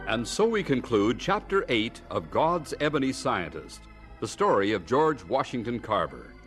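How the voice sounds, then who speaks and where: Lively male radio announcer over music. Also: medium pitch. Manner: formal, measured. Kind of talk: scripted radio narration. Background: faint music.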